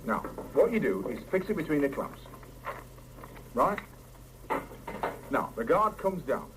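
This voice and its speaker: Higher male voice, cocky working bloke, breezy, colloquial, animated, old radio play. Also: unhurried. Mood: cocky, reassuring. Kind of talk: 1970s radio drama acting. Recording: noisy mono 1975 radio cassette recording.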